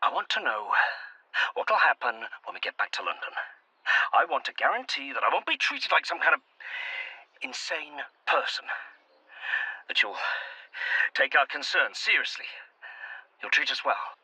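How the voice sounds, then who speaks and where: An actor playing a distressed, put-upon young man in an audio drama, halting, polite and emotional. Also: mid-range voice, very animated, sharp tone, breathy edge, no room sound, heavily acted, low-quality recording. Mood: anxious.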